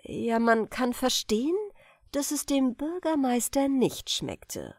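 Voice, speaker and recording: A woman narrating a comic folk tale for an audiobook. She takes her time, with deliberate pauses and very lively intonation. The sound is close and dry. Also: on the high side, no room sound. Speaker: audiobook reader. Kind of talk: expressive scripted storytelling of comic folk tales.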